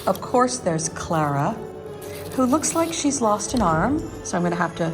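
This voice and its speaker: A woman's voice: medium pitch, big pitch swings, normal speed. A woman hosting a chatty home decorating vlog, talking in an enthusiastic, gushing way. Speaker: vlog host. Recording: music playing behind the voice. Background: music.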